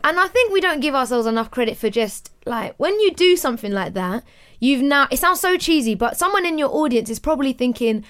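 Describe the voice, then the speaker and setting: A woman's voice: high-pitched, lively intonation, brisk, a bit bright, smooth. A woman, chatting casually as a podcast host. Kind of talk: casual chat.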